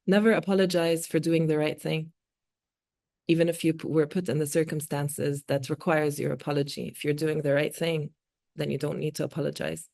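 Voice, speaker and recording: A woman in her forties, a native Arabic speaker, talking earnestly in an interview with measured pauses and fillers. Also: deep voice, little pitch movement, creaky, a little mellow. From born in Oman, Palestinian. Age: 40s. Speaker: guest speaker, multimedia artist. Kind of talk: reflective interview talk.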